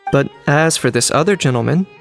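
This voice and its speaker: An actor playing a matter-of-fact young investigator in a video game, speaking in concise phrases. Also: male voice, fairly high pitch, animated, a little fast, gravelly, faint music behind. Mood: businesslike.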